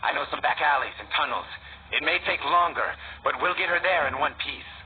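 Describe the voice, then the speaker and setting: A man's voice: fairly high pitch, expressive, a little fast, close to an everyday voice. A man acting as an earnest, humble young rebel foot soldier in a video game, modest and obliging in manner. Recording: tinny phone-like band, noisy.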